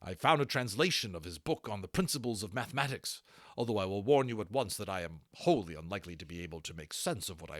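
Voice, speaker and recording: A man's voice: sits low, a bit bright, no room sound, clean studio sound. A man voicing a courteous, affectionate naval officer in an audiobook, with a formal, gracious, old-fashioned manner. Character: courteous, affectionate naval gentleman turned dragon aviator.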